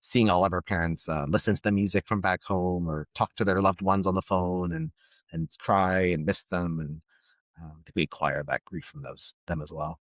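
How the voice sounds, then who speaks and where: A man from Palestine, a guest on a podcast, speaking thoughtfully and pausing often to search for words. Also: low-pitched, steady tone, dry recording. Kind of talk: reflective conversation.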